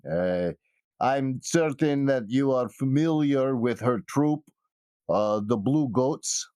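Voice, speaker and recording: Man putting on a measured, courteous fixer. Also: mid-range voice, animated, darkish tone, clear, resonant tone, no echo. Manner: measured, hesitant, formal phrasing. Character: polite, thick-accented male fixer who spells out names.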